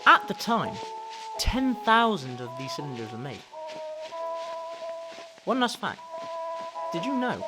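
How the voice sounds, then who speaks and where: A man's voice: a little high, low-quality recording. A young man presenting a news-style history video in an enthusiastic, informal, exclamatory way. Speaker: student presenter. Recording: music behind the voice.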